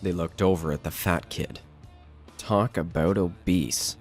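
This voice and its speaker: A man's voice: low voice, fairly even intonation, measured pace, soft background music. A man narrating a scripted horror story as the narrator of an audio drama.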